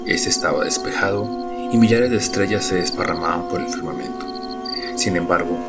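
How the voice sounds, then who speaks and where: Deep-voiced male storyteller over music. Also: normal speed. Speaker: narrator. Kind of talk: scripted storytelling narration.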